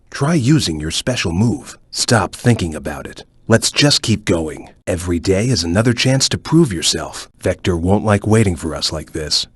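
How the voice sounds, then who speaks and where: A man playing a calm, serious ninja chameleon in a video game, speaking in a measured, stoic and focused way with a low, husky voice. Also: dry recording, clean studio sound. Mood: serious, watchful. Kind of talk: video game voice lines, hints and tutorial instructions.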